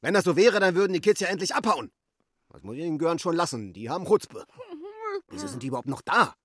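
A man's voice: a little high, sing-song, fast talker, a bit bright, husky, no room sound, studio quality, exaggerated voice. An actor playing a boastful, gleeful male burglar king in a German children's radio play, coarse and theatrical. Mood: scornful bravado. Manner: coarse, gloating, theatrical cackling.

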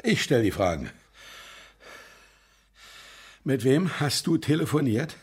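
A man playing a grumpy, suspicious older man in a children's radio play, gruff and scolding, with a raspy voice. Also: medium pitch, very animated, moderate pace, darkish tone. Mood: angry, demanding. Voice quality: husky, raspy.

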